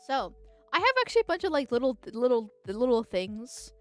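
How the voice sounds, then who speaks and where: High, nasal-voiced woman streamer. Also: big pitch swings, fairly quick, soft background music. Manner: rambling, giggly, with frequent filler words. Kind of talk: casual livestream chat.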